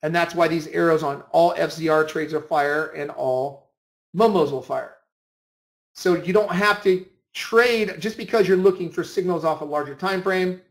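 A man with a fairly high-pitched voice teaches informally over a screen share. He explains step by step, restating his points as he goes. The recording is distinctly echoey, as in a reflective room. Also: moderate pace. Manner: instructional, repeats and rephrases points. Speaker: trading room instructor. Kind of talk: informal screen-share lesson on chart trading. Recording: roomy, echoing voice recording.

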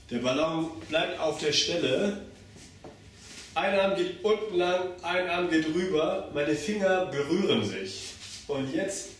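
Young adult man, slow-paced instructor, slightly high voice, step-by-step demonstration, very reverberant room. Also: a little hiss or hum. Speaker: circus pantomime instructor. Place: bare indoor room with hard walls. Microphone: camera mic across the room. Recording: very echoey room, far from mic.

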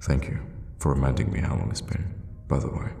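A man voicing a sadistic, teasing male vampire captor in a hushed, intimate ASMR roleplay, with a deep, gravelly half-whisper. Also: exaggerated voice. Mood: teasing, soothing, sinister. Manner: slow, hushed, menacingly tender with pet names. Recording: long reverb, faint background noise.

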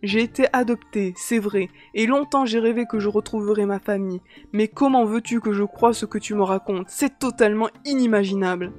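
A woman's voice: medium pitch, fairly quick, hardly any pauses, a little mellow, soft background music, close to an everyday voice. A woman voicing an arrogant, irritable teenage boy with an uneasy streak, as character dialogue in an audiobook. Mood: torn, incredulous. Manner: curt and self-important, later hesitant.

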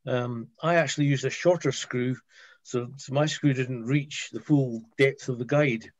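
A man giving a halting, casual technical explanation on a group video call, repeating words as he goes. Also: medium pitch, fairly even intonation, dry recording. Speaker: participant in a hobby group chat. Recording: dull, muffled video call audio.

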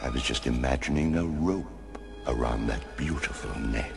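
A man voicing a menacing, sanctimonious older minister in an amateur cartoon fandub, gravelly, pompous and sinister. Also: rumbling low, normal speed, heavily acted. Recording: loud music behind the voice.

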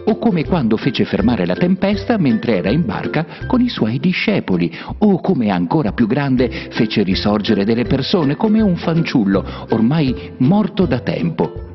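A man narrating a children's Bible cartoon as an expressive, explanatory storyteller. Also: medium pitch, a little slow, hardly any pauses. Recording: muffled, reverberant, music behind. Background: background music.

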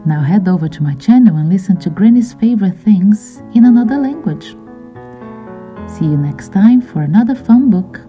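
A woman's voice: mid-range voice, animated, moderate pace, dark tone, faint music behind. A woman reading a children's story aloud and teaching simple vocabulary, speaking in simple, encouraging phrases to young listeners.

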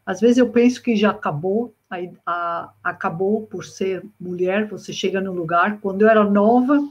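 A woman's voice: medium pitch, normal speed, breathy edge. A middle-aged woman from Brazil, an engineering professor guesting on a video-call interview, talking reflectively with frequent restarts and repeats. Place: home room with bookshelves. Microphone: webcam on video call. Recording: muffled video-call audio.

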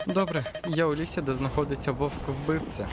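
A man's voice: on the high side, normal speed, music in the background, close to an everyday voice. A man voicing a wary male hunter who tracks a killer wolf and talks to himself in a hesitant way. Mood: uneasy. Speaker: voice actor in a fan dub. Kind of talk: dubbed analog horror narration.

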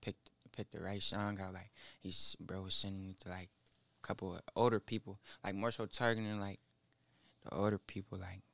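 Mumbling young man with a gravelly voice. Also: low-pitched, level tone, fairly bright tone, dry recording. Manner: halting, mumbled, full of fillers. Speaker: rapper guest. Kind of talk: casual interview. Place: small studio with foam wall. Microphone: studio mic on boom arm, headphones.

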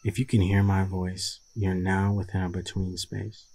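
A man leading a guided meditation in a soothing, deliberate manner with long pauses and a husky voice. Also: sits low, monotone, a little mellow, slight background noise.

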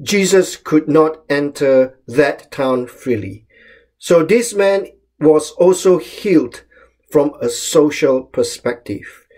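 A middle-aged man from Malaysia, a pastor, giving a short devotional sermon in a calm, measured way. He is recorded close up on a webcam in a small room at home. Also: a little high. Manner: calm, measured reading of scripture with emphatic openings. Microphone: webcam or laptop camera close up.

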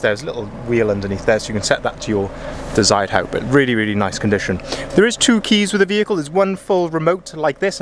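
A sales manager walking around a van outdoors and talking it through, chatty, often repeating himself and saying "as I say", recorded on a handheld camera. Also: male voice, medium pitch, a little hiss or hum. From Wales. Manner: chatty, filler-heavy, repeats "as I say". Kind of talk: walkaround vehicle review. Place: outdoor van yard, then inside a van cab. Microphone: handheld camera held by the speaker.

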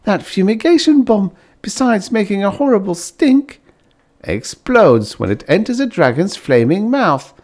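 A man's voice: high voice, big pitch swings, measured pace, a little mellow, voice pushed up, exaggerated voice. A man playing an earnest, upbeat, proud pioneer husband in an audiobook story, eager and a little boastful.

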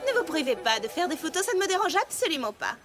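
A woman's voice: extremely high pitch, sing-song, fast. A woman playing a vain, haughty female unicorn pony in a French cartoon dub, with affected, theatrical delivery. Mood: smug, preening. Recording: loud music behind. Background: music.

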